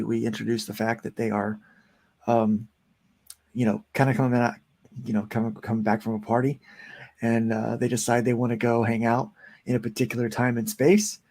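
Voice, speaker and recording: A man's voice: mid-range voice, vocal fry, darkish tone. A man in his forties, a comic book writer chatting casually as an interview guest, rambling with lots of fillers. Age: 40s.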